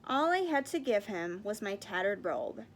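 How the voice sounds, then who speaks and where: A woman voicing a kindly, generous uncle in a children's fable, with a gentle and welcoming manner. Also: mid-range voice, big pitch swings, moderate pace. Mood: wistful. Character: kindly, generous uncle living simply in the hills. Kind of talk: children's picture book read-aloud.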